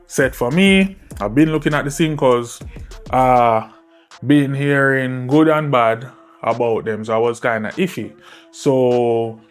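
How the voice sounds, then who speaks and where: A man giving a casual, conversational product review, often checking in with the listener with short tag questions. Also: mid-range voice.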